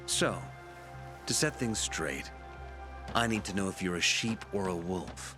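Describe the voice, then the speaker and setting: An actor playing a shrewd, pompous leader of a survivor community in a video game, speaking in a deliberate, preacherly way. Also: male voice, low-pitched, lively intonation. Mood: probing, wry. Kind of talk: dramatic video game acting. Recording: background music under the voice.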